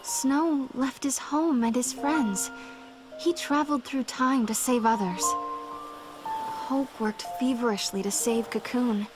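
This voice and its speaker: Gentle young game heroine, high voice, hesitant and earnest, music behind her. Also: slow pace. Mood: sorrowful resolve. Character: gentle young woman, anxious, bewildered time traveler with resolve. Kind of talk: dramatic video game voice acting.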